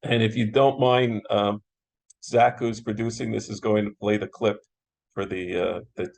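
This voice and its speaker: A man's voice: lowish voice, steady tone, normal speed, creaky, rough recording. A man moderating a casual interview in an affable, appreciative manner.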